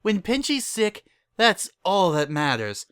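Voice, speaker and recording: A man's voice: high-pitched, sing-song, slow pace, a bit bright, clean tone, no room sound, clean studio sound, pitched above the performer's own voice, exaggerated voice. A man voicing a scrappy, drowsy, hard-drinking pony mother in a storybook, whiny and belligerent. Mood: indignant.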